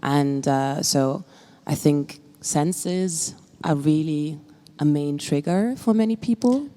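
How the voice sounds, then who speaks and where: A woman chatting casually in a group talk at a museum gallery, her voice very low and mellow. She agrees readily and scatters fillers through her sentences. The long echo of a big hall surrounds her voice. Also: takes it slowly. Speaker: discussion participant. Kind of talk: reflective group conversation.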